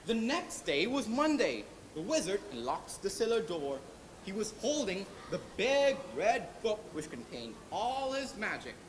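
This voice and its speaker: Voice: medium pitch, sing-song, measured pace, echoey room. Teenage narrator, Thai accent, dramatic storytelling, swooping intonation, noisy stage recording.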